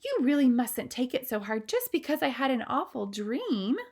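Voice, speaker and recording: A woman's voice: sits high, big pitch swings, moderate pace, darkish tone, voice pushed up, heavily acted. A woman putting on an elderly queen, posh and alarmed. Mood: alarmed, refined. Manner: refined, exclamatory, posh phrasing. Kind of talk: storybook read-aloud.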